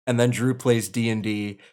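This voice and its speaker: A man's voice: medium pitch, darkish tone. An American man leading a script table read as writer and narrator, reading and chatting casually. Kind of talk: casual reading of screenplay action and post-read chat.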